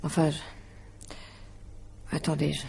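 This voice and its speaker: Low, creaky, hesitant astrologer woman. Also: completely flat delivery, a little mellow, slight background noise, exaggerated voice. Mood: uneasy, hesitant. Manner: measured, hesitant, polite. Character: courteous, hesitant woman translator and amateur astrologer. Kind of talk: 1950s-style French radio drama acting.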